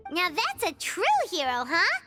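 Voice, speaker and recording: A woman's voice: very high voice, wildly swooping pitch, measured pace, sharp tone, soft background music, heavily acted. A woman voicing a small, perky, childlike fairy companion in a video game, blunt and curious. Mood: excited. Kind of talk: video game cutscene acting.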